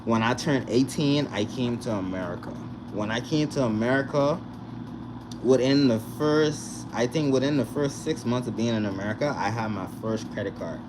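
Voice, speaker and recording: A young man from the Bahamas, vlogging in a casual, animated way and often checking in with the viewer. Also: medium pitch, normal speed. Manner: animated, repeats "you understand?" to the viewer. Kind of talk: casual direct-to-camera chat. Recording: noisy recording with vehicle sounds behind.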